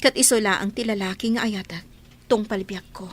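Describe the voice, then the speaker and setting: A woman with an Ilocano Filipino accent playing a sincere, steadfast young student in love, polite and calmly firm, in a radio drama. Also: mid-range voice, normal speed, natural voice. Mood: earnest, resolute.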